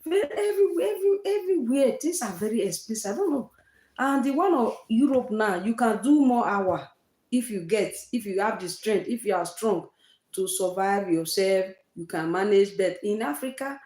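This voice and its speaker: A woman's voice: medium pitch, warm tone, roomy sound. A woman from Nigeria with a Nigerian accent, hosting a casual livestream chat and repeating herself for emphasis. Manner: repetitive, emphatic, uses Nigerian fillers like eh and oh. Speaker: live-stream host.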